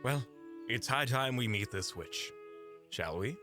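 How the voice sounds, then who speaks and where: A man acts a tall, vain mage in a fantasy audio drama. He is theatrical and a little haughty, with dry wit. His pitch moves freely over soft music. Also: animated, a little slow, close to an everyday voice. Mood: dry, impatient. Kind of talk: fantasy audio roleplay acting.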